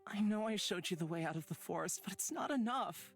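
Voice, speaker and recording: Earnest, insecure young companion. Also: male voice, high-pitched, lively intonation, a little fast, faint music behind. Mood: wistful, pleading. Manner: earnest, self-doubting. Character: devoted, insecure young companion, wistful and tender. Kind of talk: visual novel voice acting.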